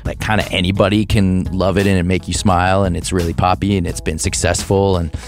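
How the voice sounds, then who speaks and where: Deep-voiced man musing on a podcast. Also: level tone, brisk, soft background music. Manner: thoughtful, hesitant, with restarts and laughs. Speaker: podcast host. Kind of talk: reflective podcast monologue.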